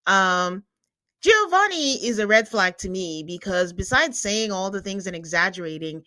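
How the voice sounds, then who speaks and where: A chatty woman co-hosting a podcast in casual conversation, her English carrying traces of another first language. She talks in a middle pitch, tossing in fillers and quick laughs. The sound is close and dry, with no room around it. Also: lively intonation, bright, forceful tone, dry recording, poor audio. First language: not English. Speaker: podcast co-host. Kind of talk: casual chat about a reality show.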